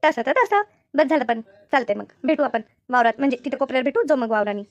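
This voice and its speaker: A woman voicing a jumpy, eager farm labourer in a village cartoon, excitable and repetitive, with a rural Maharashtrian Marathi accent. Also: high-pitched, fast, no echo, highs cut off. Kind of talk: cartoon comedy dialogue.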